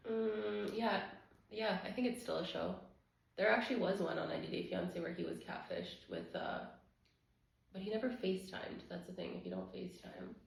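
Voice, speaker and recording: A woman's voice: medium pitch, very animated, normal speed, gravelly. A woman chatting casually with her partner as co-host of a couple's channel, with a giggly manner. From Romanian background. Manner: giggly, laughs often while talking. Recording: very echoey room.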